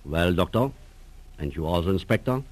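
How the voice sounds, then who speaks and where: An actor playing a suave elderly professor in a 1940s radio drama, over-polite and faintly mocking, with hesitant drawn-out phrases. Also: male voice, low-pitched, animated. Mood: sly mockery.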